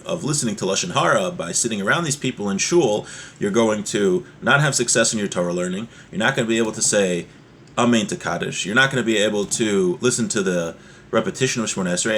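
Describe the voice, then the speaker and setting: A man's voice: lowish voice, fairly bright tone. Male religious teacher, noisy recording. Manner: explanatory, with frequent check-in questions. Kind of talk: informal religious lesson, reading source text then translating and explaining.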